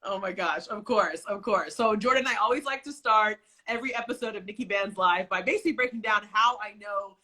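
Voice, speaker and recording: A woman's voice: medium pitch, brisk, few pauses, bright, forceful tone. A young adult woman from California hosting a casual interview, bubbly and laughing often, with many "like" fillers. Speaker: podcast host. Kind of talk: casual video-call interview.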